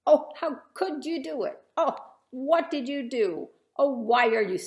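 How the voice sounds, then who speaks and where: A woman's voice: on the high side, gravelly, pitched above the performer's own voice, heavily acted. A woman voicing a self-righteous male friend who mocks and scolds in rhyme. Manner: mocking, rhyming. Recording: roomy echo.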